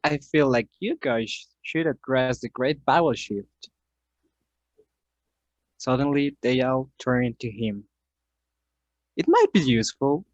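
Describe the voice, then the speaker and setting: A man's voice: medium pitch, lively intonation, slow, long pauses, no echo, muffled recording. A man playing a wry, knowing narrator in a radio play. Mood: measured. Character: wry male narrator who breaks into the scene.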